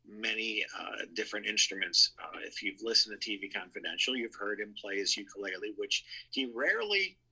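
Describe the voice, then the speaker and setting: A man with a very bright, forceful voice and a husky grain speaks as a show contributor. He reminisces conversationally, telling anecdotes with firm emphasis. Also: on the low side, lively intonation, a little fast, few pauses, rough recording. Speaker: show contributor, entertainment writer.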